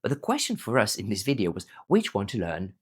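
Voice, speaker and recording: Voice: mid-range voice, wildly swooping pitch, fast talker, very noisy. A language teacher who learned Arabic as a foreign language, giving an emphatic scripted explainer. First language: not Arabic, learned it as a foreign language. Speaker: language teacher presenting their own learning platform.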